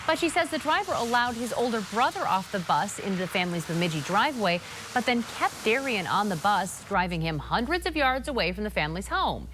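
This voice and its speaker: A woman's voice: mid-range voice, sing-song, fairly quick, few pauses, noisy background. An American woman reading scripted television news as an anchor.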